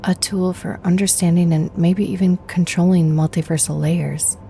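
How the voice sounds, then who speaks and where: An actress playing a wary, secretive, capable woman in a sci-fi horror audio drama, breathy and often close to a whisper. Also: lowish voice, steady tone, moderate pace, warm tone, a little hiss or hum. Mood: wary, explaining.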